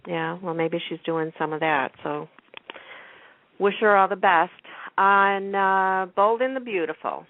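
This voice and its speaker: A woman's voice: medium pitch, unhurried, dry recording. A woman chatting casually as a panelist on a radio show about television. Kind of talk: casual chat about TV soaps. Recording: thin phone-like radio line.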